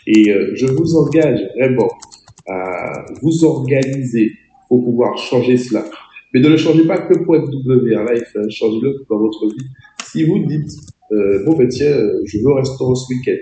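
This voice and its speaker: A French man with a cold drives home his points to a webinar audience, pressing and exhorting them directly. His voice sits very dark and mellow, and it rings with room echo over the video call. The delivery is that of an insistent trainer. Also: medium pitch, slight background noise. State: has a cold, voice a bit off. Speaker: network marketing trainer.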